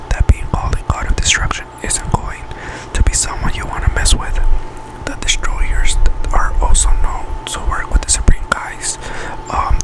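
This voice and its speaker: Whispering man, halting ASMR reader. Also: rough recording. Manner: halting, stumbles over words and self-corrects. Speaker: ASMR channel host. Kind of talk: whispered ASMR, reading a fan article aloud.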